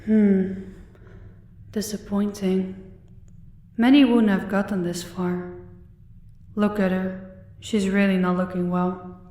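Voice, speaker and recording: Woman acting, cool female crime boss, mellow dark tone, menacingly composed, roomy echo, audio roleplay. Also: mid-range voice, little pitch movement, takes it slowly, long pauses, recorded from a distance, lightly acted. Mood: coolly amused. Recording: echoey room, away from mic.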